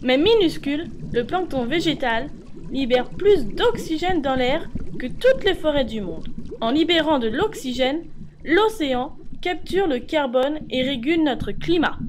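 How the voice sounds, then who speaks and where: A woman's voice: high voice, lively intonation, measured pace, background noise. A woman reading scripted educational narration for children, explaining things and addressing the viewers directly.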